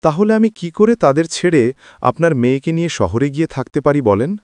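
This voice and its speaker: A man's voice: on the high side, big pitch swings, quick pace, darkish tone, no room sound. A man voicing an earnest, devoted young husband and son in a cartoon drama, pleading with emphasis.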